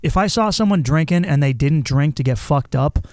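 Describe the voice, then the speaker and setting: A candid male podcast host swears freely and acts out the lines of people in his stories. His voice sits a little higher than most men's. The recording is dry and close, with almost no room sound. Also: lively intonation, normal speed, darkish tone, dry recording. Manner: candid, profane, storytelling with quoted asides. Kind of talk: casual conversational interview.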